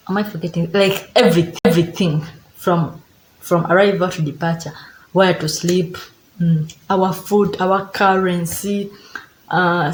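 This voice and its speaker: Low-voiced Ugandan woman vlogging in an echoey room. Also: slight background noise. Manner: chatty, repeats herself, reads from notes. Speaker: travel vlogger. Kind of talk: direct-to-camera travel advice vlog. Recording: echoey room with faint ambience.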